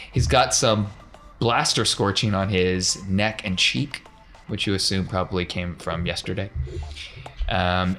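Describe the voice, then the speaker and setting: A man with a fairly low voice runs a tabletop roleplaying game. He sets scenes descriptively in a conversational narrator's manner, with some noise behind him. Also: fairly bright tone. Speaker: game master.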